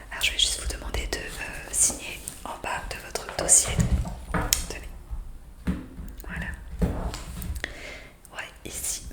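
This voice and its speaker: Woman whispering an ASMR roleplay. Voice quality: breathy, unvoiced whisper. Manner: hesitant, halting, softly explanatory. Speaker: ASMR creator playing a counsellor for new zombies.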